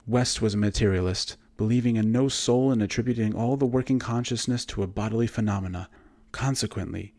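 Male narrator for suspense and horror fiction: slightly deep, measured delivery, formal literary reading. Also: a little mellow. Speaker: audiobook narrator and voice actor. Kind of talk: scripted narration of a horror story.

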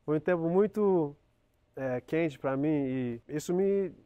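A man's voice: fairly high pitch, slow pace, dark tone, clear, resonant tone, dry recording, highs cut off. A man from Brazil who grew up in the US, a guest chatting casually and hesitantly, often searching for words outdoors. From Brazil, raised in the US. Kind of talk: casual chat. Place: outdoor driveway, seated on a couch.

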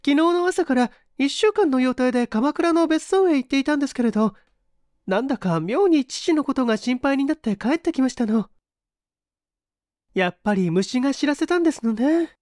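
A man voicing a gentle, refined young lady with polite feminine speech in a story reading. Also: very high-pitched, animated, normal speed, dry recording, studio quality, pitched above the performer's own voice. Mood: polite, concerned.

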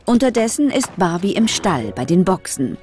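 A woman's voice: medium pitch, very animated, normal speed, a little mellow, soft background music. A woman narrating a scripted children's audio story.

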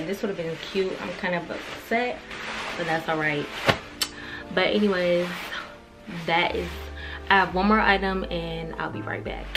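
Slangy young woman, low-pitched, music behind. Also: low-quality recording. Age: young adult. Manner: slangy, candid, swearing. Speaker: fashion vlogger. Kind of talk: casual chatty try-on haul. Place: carpeted bedroom indoors.

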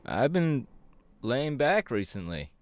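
A man's voice: mid-range voice, takes it slowly. A man playing an upbeat, easygoing young electric superhero in a casual, chatty audio roleplay. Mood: friendly, chatty. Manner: casual, animated, hesitant with fillers. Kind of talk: audio roleplay, chatty anime character acting.

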